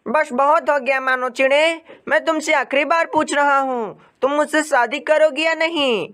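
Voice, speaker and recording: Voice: high-pitched, normal speed, fairly bright tone, voice pushed up. A narrator voicing a lovesick, insistent young female bird in a cartoon story, with a pleading manner. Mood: fed up.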